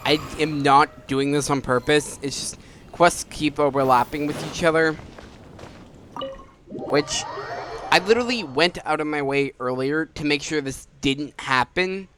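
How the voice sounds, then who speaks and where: A man's voice: on the high side, unhurried, background noise. A young adult man hosting a gaming video with casual, conversational commentary.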